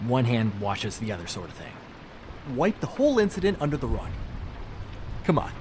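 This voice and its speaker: An actor playing a slippery small-time thief in a video game, talking in glib, ingratiating, hedging patter. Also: male voice, medium pitch, huge pitch swings, normal speed, darkish tone, a little hiss or hum. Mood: sly bargaining.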